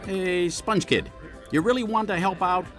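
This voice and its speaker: A man voicing a weary, irritable elderly retired superhero sidekick in a cartoon game, grumbling and deadpan. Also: sits high, a little slow, over music. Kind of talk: cartoon video game voice acting.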